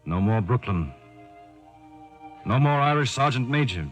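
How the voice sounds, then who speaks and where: A man's voice: mid-range voice, lively intonation, a little slow, faint music behind, natural voice. An actor playing a grave, resolute commando, telling his war story in dramatic 1940s radio style. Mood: grim. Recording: old 1940s radio recording.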